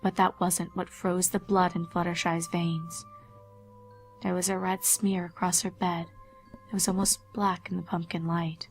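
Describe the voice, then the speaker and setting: A woman's voice: on the low side, little pitch movement, measured pace. A woman reading a scripted horror story as a dramatic narrator. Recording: faint music behind narration. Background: faint music.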